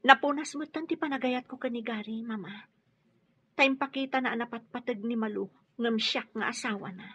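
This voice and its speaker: An actress playing a hurt, resolute pregnant wife in a radio drama, speaking emphatically with an aggrieved manner. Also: female voice, a little high, brisk, fairly bright tone, husky, dry recording. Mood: bitter. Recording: dull lo-fi radio drama audio.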